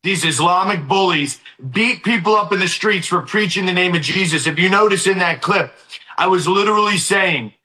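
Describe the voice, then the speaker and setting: Fiery high-pitched male activist. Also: moderate pace, sharp tone, echoey room, low-quality recording. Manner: fiery, declamatory. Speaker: guest activist. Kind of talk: interview.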